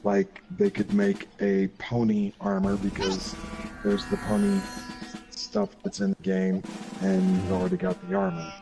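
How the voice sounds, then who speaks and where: A man's voice: lowish voice, sing-song, measured pace, vocal fry. A man giving his opinions in a casual gaming discussion, explaining emphatically point by point. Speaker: gaming show panelist. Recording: music behind the voice.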